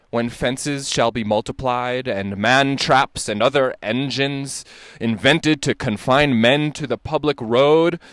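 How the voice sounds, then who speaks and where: A man performing a dramatic reading of an old lecture, with deliberate, emphatic, oratorical phrasing. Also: on the high side, dry recording. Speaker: dramatic reader.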